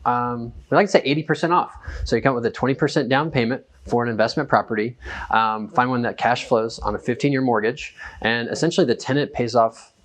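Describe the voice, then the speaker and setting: Male guest, mid-pitched, rapid talker, casual and explanatory, working out thoughts as he speaks. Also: fairly bright tone.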